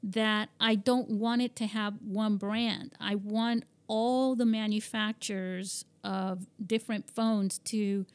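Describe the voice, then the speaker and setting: A woman's voice: medium pitch, fairly even intonation, slow pace, hardly any pauses, clean tone. A woman from Spain hosting an interview, rambling with many fillers and restarts. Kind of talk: conversational interview.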